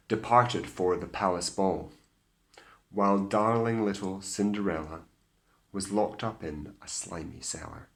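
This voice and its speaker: A Scottish man tells a children's story in a lowish voice at a very slow, deliberate pace. His delivery is expressive and theatrical, dropping into hushed asides. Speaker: teacher reading a story to pupils.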